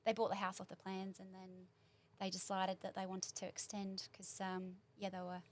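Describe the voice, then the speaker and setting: A woman's voice: mid-range voice, monotone, moderate pace, slight background noise. Chatty New Zealand woman in her forties. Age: 40s. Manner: warm, chatty, rambling recollection. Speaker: podcast guest. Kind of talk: casual interview chat. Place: small podcast studio.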